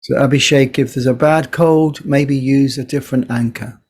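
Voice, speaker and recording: A man leading a guided body scan meditation as a teacher, speaking gently in short phrases with unhurried pauses. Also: mid-range voice, fairly even intonation, husky.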